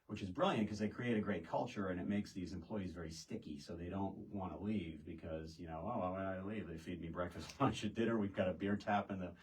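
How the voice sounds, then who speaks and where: A middle-aged American man explaining things casually as a podcast guest, halting and wandering off into asides. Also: sits low. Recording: distant microphone.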